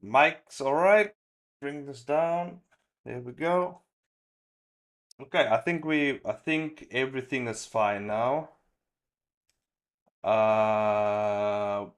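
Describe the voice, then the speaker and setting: A man hosts a casual livestream in a medium-pitched voice. He takes his time, speaking slowly in slangy, half-muttered self-talk, as if checking things over while chatting to viewers. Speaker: livestream host.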